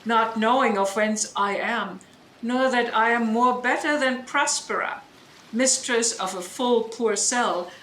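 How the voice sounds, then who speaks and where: Woman playing a slow, echoing, protective mother. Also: a little high, fairly bright tone, slight background noise. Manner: deliberate, reassuring. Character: composed, protective sorceress mother soothing her daughter. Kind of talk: Shakespearean audio drama performance. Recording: roomy, echoing home online recording.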